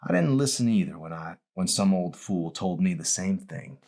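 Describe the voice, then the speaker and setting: Man acting raspy, dying old man, folksy and bitter. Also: low voice, lively intonation, moderate pace, a little mellow. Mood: wistful, bitter. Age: older. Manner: colloquial, folksy, wistful preaching. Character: dying old male hospital patient, bitter yet tender, folksy.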